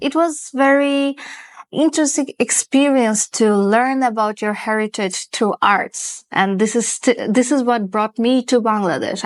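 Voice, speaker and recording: A woman's voice: medium pitch, dry recording. A young woman from Russia, a dancer guest, chatting casually and slipping Bangla phrases into her English. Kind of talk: casual interview.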